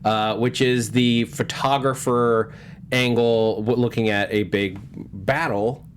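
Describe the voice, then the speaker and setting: A man hosting a panel discussion, talking casually in a halting, wordy way and often backtracking as he explains. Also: mid-range voice, steady tone, slight background noise. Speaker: host of a movie news panel show.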